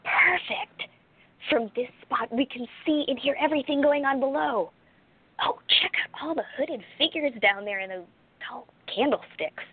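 A woman playing an eager, excitable female sidekick detective in a radio play, with a brisk theatrical delivery. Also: sits high, dry recording, natural voice. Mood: eager, playful, then curious, hushed. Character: eager, indignant, chatty female sidekick sleuth. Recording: thin, phone-like band-limited audio.

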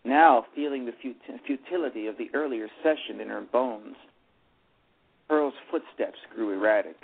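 Husky man reading a story aloud. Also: medium pitch, normal speed. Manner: stumbles and repeats words while reading. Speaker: narrator. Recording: tinny phone line with faint music.

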